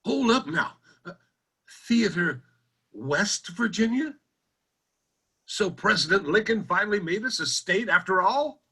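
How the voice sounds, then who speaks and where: A man's voice: sits high, animated, measured pace, long pauses, sharp tone, slightly rough, exaggerated voice. A man playing a folksy, bewildered nineteenth-century general store owner in a radio drama, with plain-spoken country talk. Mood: bewildered, amazed. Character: old-time West Virginia general store proprietor from the 1800s, folksy, bewildered. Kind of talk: old-time radio drama acting.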